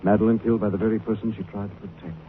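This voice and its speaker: An actor playing a suave, wry gentleman detective with an urbane manner in a 1940s radio drama. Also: lowish voice, steady tone, rapid-fire, natural voice. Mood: smug, explanatory. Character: suave, wry gentleman amateur sleuth, affectionate and unruffled. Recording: tinny, noisy 1940s radio broadcast.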